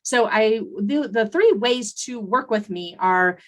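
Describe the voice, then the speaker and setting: A woman's voice: a little high, lively intonation, slow pace. Conversational woman podcast host, coaching. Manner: conversational, with fillers and restarts. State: recovering from laryngitis. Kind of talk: solo podcast monologue.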